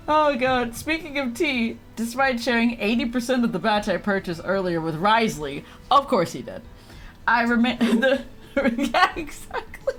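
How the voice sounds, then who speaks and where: A woman's voice: fairly high pitch, fairly bright tone. A woman livestreaming a video game, chatting casually and reading game text aloud in a giggly, reactive manner.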